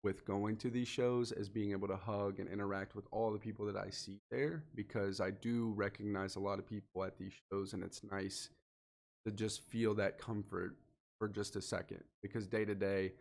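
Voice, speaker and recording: A man's voice: on the low side, flat delivery, normal speed, gravelly, a little mellow. A man hosting a solo motivational podcast, talking earnestly and directly to the listener, a little sniffly and congested from allergies. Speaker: podcast host.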